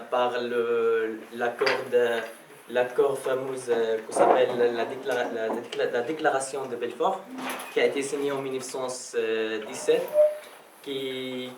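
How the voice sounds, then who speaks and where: A man's voice: mid-range voice, noisy background. Hesitant man, distant and roomy. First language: Arabic. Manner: hesitant, restarts phrases often. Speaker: guest speaker. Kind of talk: personal testimony talk. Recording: roomy, distant mic, faint background ambience.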